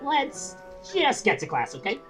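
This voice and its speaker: A woman's voice: a little high, big pitch swings, unhurried, music in the background. A woman playing a wisecracking young male dragonfly in a fan script reading. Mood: flustered. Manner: teasing, wisecracking.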